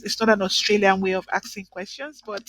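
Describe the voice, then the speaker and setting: A woman's voice: medium pitch, steady tone, brisk, a bit bright. A woman from Nigeria in casual conversation, talking emphatically and often checking in with "right?" and "you know". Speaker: guest.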